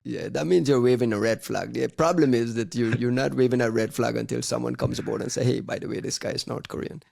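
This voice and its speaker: A man's voice: mid-range voice, steady tone, quick pace, vocal fry, darkish tone. A man hosting a podcast, steering the talk in a wry, teasing way. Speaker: podcast host. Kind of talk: casual chat.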